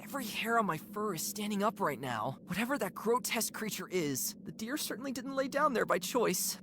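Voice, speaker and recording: Woman acting young deer adventurer, animated delivery. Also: mid-range voice, brisk, background noise, close to an everyday voice. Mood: wary, curious. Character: plucky young deer adventurer, earnest and curious. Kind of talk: cartoon adventure game voice acting.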